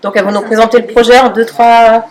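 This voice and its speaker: A woman's voice: on the high side, level tone, fast talker, noisy background. A woman, a schoolteacher, introducing guests in a chatty, laughing manner and correcting herself.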